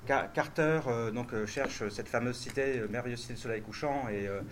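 Brief: male translator on a festival panel, quick and halting, thinking aloud, faint noise behind. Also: medium pitch. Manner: hesitant, restarts often, thinks aloud. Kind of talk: festival panel answering questions.

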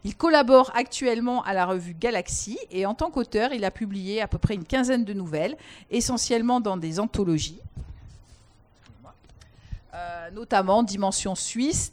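A woman's voice: medium pitch, a little mellow. A woman moderating a panel, introducing the guests and asking questions with many hesitations.

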